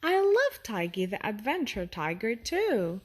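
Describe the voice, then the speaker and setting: A woman's voice: on the high side, big pitch swings, unhurried. A woman voicing a polite, friendly little boy tiger from a children's storybook, earnest and cheerful. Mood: warm, friendly.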